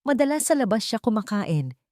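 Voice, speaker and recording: A woman's voice: a little high, big pitch swings, a little fast, a little mellow, no echo, voice pushed up. Snobbish, condescending wife for audio drama, played by a female narrator; clipped, falsely polite, mixing in English, dry sound. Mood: cold, firm. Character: cold, snobbish young wife feigning sweetness to mother-in-law.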